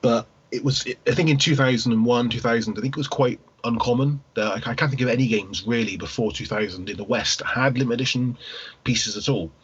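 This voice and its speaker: Male podcast co-host, husky low voice, explains hesitantly with repeated phrases, slightly dull lo-fi sound. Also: fairly quick. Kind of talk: casual podcast discussion of video games. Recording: dull, lo-fi voice recording.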